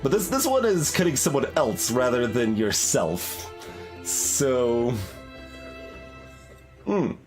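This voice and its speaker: A man's voice: medium pitch, big pitch swings, takes it slowly, pauses often. A young man giving commentary on a game, musing aloud with many fillers and restarts. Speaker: gaming YouTuber. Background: soft game music.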